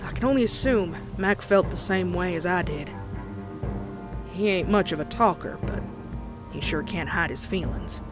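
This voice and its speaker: An uneasy young country mare with a Southern US drawl, voiced by a woman, tells a horror story in dramatic first person. Her talk is folksy and anxious. Music plays clearly behind her. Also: lowish voice, normal speed, close to an everyday voice. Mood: brooding, uneasy.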